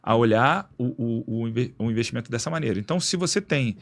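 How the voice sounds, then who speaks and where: A man being interviewed as a guest in a radio studio, explaining in a relaxed, slangy way with many restarts. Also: medium pitch, fast talker, vocal fry. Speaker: guest, executive director of an institute.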